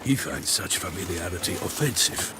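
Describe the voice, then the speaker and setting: Theatrical, lilting courtly knight. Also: male voice, low voice, animated, normal speed, very noisy. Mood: courtly, dutiful. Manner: theatrical, courtly, archaic phrasing. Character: florid, chivalrous knight-errant, courtly and dramatic. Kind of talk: video game dialogue. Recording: music behind the voice. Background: music.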